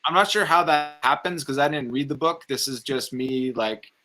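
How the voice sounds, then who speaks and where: A man's voice: mid-range voice, moderate pace, pushed and bright. A man co-hosting a casual film discussion, colloquial and hesitant as he works out his opinion. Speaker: film review show co-host.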